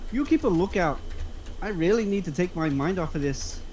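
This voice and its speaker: A man's voice: sits high, animated, moderate pace, darkish tone, slight background noise. An actor playing a nervous, childish male criminal, restless and whiny, in a script table read.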